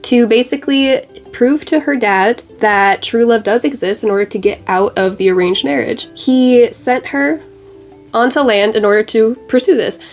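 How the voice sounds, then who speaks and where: A woman's voice: a little high, normal speed, faint music behind. Chatty congested young woman vlogger. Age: young adult. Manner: chatty, bubbly, lots of "like" fillers. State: congested from a cold. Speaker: beauty vlogger. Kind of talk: casual chat while doing makeup.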